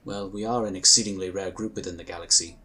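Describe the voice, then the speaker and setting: An actor playing a courteous, reassuring inquisitor of a magical order in a sci-fi audio drama, speaking in formal, measured, polite phrases. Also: male voice, low-pitched, fairly even intonation, bright, forceful tone, slightly rough, heavily acted. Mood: apologetic, polite.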